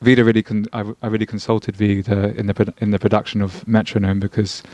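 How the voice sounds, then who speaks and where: A man hosts a casual studio conversation. His voice sits fairly low and sounds dry, with no room echo. He talks haltingly and encouragingly, often restarting his sentences. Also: little pitch movement, mellow. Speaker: host and collaborator.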